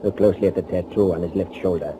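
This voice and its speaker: An actor plays a grim, vengeful man on the run in a 1940s radio thriller. He, and he gives orders tersely and intensely. The aged recording is clearly noisy. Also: low-pitched, steady tone, quick pace, natural voice. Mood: grim, commanding. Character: grim, commanding male fugitive on a mission of revenge.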